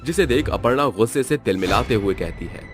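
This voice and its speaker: A man narrating a cartoon story in a steady storytelling manner. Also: mid-range voice, fairly quick, soft background music.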